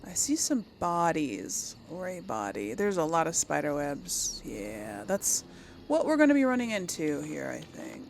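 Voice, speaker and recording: A woman hosts a casual let's play, talking to her viewers and thinking aloud. Her delivery is slow and easygoing, with a creaky fry in a mid-pitched voice. There is faint game ambience behind her. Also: big pitch swings, slight background noise. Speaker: gaming channel host.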